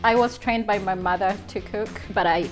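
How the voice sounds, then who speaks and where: A woman's voice: medium pitch, moderate pace, music in the background. A woman from Uganda with a Ugandan accent, chatting and explaining casually as a guest cook. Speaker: charity staff member, guest cook. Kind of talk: casual cooking chat and explanation.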